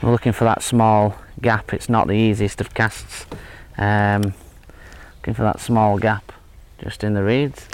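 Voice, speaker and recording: A man in his thirties giving a relaxed, hesitant fishing tutorial outdoors by a lake, with lots of fillers and restarts. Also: on the low side, a little slow. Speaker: angling instructor. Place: outdoors at a lakeside fishing spot. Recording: faint outdoor background noise. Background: faint outdoor ambience.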